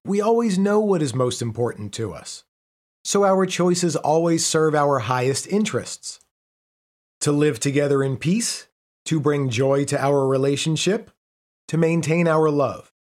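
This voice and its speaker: A man with a slightly higher voice reads a relationship article aloud. His delivery is measured and even, with a preachy, scripted feel. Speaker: reader.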